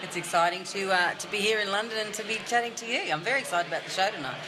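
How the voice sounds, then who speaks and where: A woman's voice: mid-range voice, rapid-fire, noisy background. A woman with an Australian accent, a singer-songwriter chatting casually in an interview, upbeat and full of fillers and restarts. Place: backstage at a music venue.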